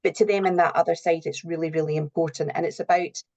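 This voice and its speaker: A woman's voice: fairly low pitch, fairly even intonation, fast, darkish tone. A middle-aged woman giving earnest, explanatory answers as a guest in a conversational interview. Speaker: interview guest, recruitment and HR professional.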